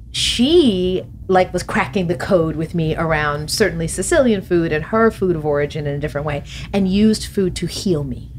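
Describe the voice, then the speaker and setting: A woman's voice: lowish voice, a little hiss or hum. Thoughtful woman speaking on a podcast. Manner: thoughtful, animated hand gestures, laughs often. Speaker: podcast guest, author. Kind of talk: reflective conversational interview. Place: podcast studio. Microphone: studio mic on boom arm.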